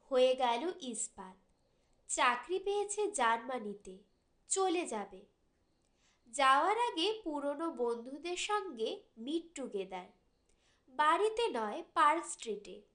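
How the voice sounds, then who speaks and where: Female audiobook reader for literary fiction; very animated intonation, unhurried, deliberate delivery. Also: high-pitched. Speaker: audiobook narrator. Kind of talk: dramatic literary short-story narration.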